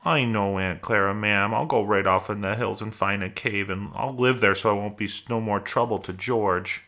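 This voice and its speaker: A man acts out a big, slow-witted fellow from a storybook with a bright, forceful voice. He talks in plain rustic phrases, childlike and anxious, forever pleading his case. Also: mid-range voice, animated, voice pushed up. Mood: sad, pleading. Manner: plain rustic ungrammatical talk.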